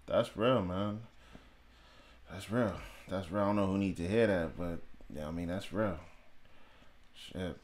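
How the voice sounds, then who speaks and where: A man hosting a podcast in casual chat, laid-back and encouraging, quick to affirm his guest. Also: sits low, darkish tone. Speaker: podcast host.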